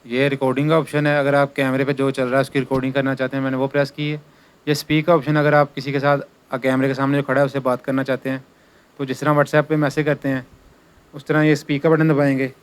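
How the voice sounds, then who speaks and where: A man with a clear, smooth voice talks through an informal how-to. He goes step by step like a working technician showing a job, and repeats his instructions as he goes. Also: mid-range voice, level tone, fast talker. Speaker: electrician giving installation tutorial. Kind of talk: informal hands-on tutorial narration.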